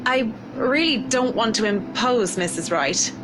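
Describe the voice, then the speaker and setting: A woman's voice: on the high side, animated, unhurried, over music. A woman voicing a polite, businesslike interior decorator in a visual novel, formal and deferential. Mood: polite, professional. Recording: noisy ambience behind the voice.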